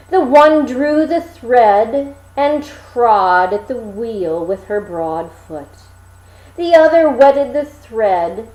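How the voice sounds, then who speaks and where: A woman reading a fairy tale aloud as expressive storybook narration, with dramatic, deliberate phrasing. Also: fairly high pitch, reverberant, poor audio.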